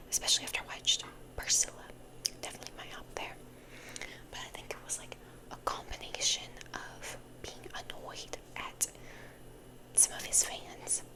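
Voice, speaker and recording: A young woman hosting ASMR, rambling casually in a whisper and repeating words and phrases for effect. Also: poor audio. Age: young adult. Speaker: ASMR video host.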